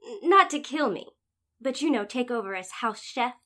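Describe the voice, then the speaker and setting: A woman's voice: fairly high pitch, animated, moderate pace, bright, forceful tone. A woman playing a tenacious house chef, speaking candidly as in a documentary interview in an audio drama. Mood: guarded.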